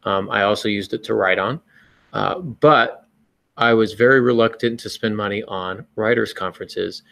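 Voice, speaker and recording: Guy chatting on a podcast, lowish voice with lots of fry, explains stuff casually. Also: normal speed, fairly bright tone. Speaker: podcast host and writer.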